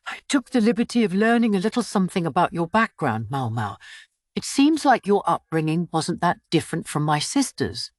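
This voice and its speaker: A woman voicing a playful young woman who teases softly while masking sadness and resolve, in a novel reading. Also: on the low side, big pitch swings, a little fast, dry recording, clean studio sound. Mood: sly, musing.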